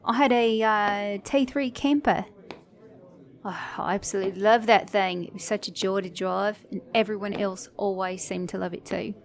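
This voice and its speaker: A woman plays a flirty, sassy female mechanic in a romantic ASMR roleplay. Her voice sits at a medium pitch. She talks intimately and teasingly to the listener as her date, with a caring streak under the sass. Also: steady tone, moderate pace, natural voice. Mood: nostalgic, chatty. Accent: British or Australian.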